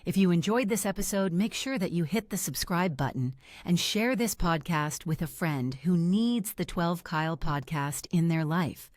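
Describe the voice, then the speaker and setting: A woman reading a scripted podcast outro in an even, polished way. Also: lowish voice, moderate pace, few pauses, no echo, studio quality. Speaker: outro announcer.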